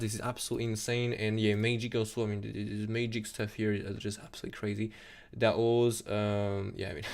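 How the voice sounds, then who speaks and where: A young man with a French accent talks quickly and casually on a live stream from his desk. His lowish voice has a gravelly fry, and he gets excitable, repeating himself and restarting his sentences. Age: young adult. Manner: excitable, repeats "absolutely insane", many restarts. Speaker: crypto chart analyst streamer.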